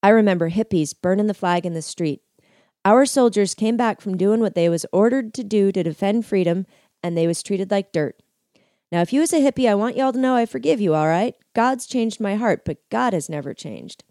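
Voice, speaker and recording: A man's voice: high voice, animated, normal speed, darkish tone, no echo, clean studio sound, voice pushed up. A woman voicing a small, fiery older male biker preacher with a Southern accent and an emotional, drawling preaching manner.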